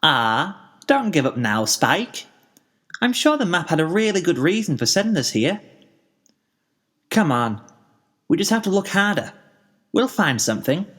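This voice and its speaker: A man's voice: on the high side, sing-song, a little slow, pauses often, fairly bright tone, pitched above the performer's own voice, heavily acted. A man voicing a cheerful, determined young female cartoon pony with a bubbly, chirpy, encouraging manner in a story reading. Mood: upbeat. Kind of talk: fan fiction character reading.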